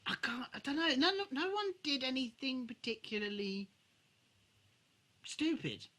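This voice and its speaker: Bright, lively-pitched man chatting casually. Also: normal speed. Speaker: podcast co-host. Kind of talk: casual chat.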